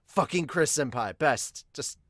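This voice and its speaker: A man's voice: on the high side, lively intonation, measured pace, a bit bright, dry recording, clean studio sound. A man co-hosting an anime fan podcast, talking casually and bluntly in a rambling, sometimes sweary way to viewers. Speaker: co-host of an anime and manga podcast.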